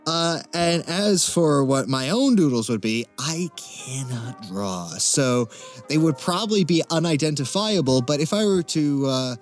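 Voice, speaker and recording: A man's voice: a little high, animated, a little slow, faint music behind. A man chatting casually as a Q&A guest, talking in a hesitant, wry and self-deprecating way. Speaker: interview guest, amateur voice actor. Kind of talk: casual Q&A chat.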